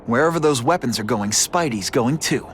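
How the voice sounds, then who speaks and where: An actor playing a young, earnest and wry superhero vigilante in a video game, speaking casually. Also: male voice, mid-range voice, animated, normal speed, slight background noise, lightly acted. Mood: earnest, hesitant. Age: young adult.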